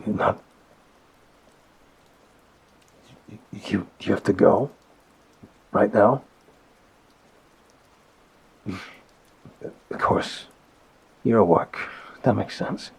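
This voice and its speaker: A low-pitched, heavily husky mafia boss played by a man speaks as if on a private call to a partner. He is gentle and protective, choosing his words with hesitant pauses. The delivery is an intimate, apologetic ASMR audio roleplay. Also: exaggerated voice. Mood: pleading, sorry.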